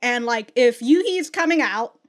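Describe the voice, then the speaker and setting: Lively young woman reacting with laughs. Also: a little high, normal speed. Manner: chatty, laughing often, swears in outbursts. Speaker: anime reaction channel host. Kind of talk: casual reaction commentary. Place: small room at home, indoors.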